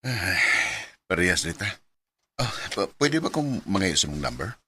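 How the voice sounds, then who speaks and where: An actor playing a married man who is a smooth, secretive seducer, coaxing in a Cebuano Filipino accent in a radio drama. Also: low-pitched, big pitch swings, moderate pace, pushed and bright, a little hiss or hum, full character voice. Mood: flirtatious. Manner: suggestive, coaxing. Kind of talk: radio drama acting in Bisaya.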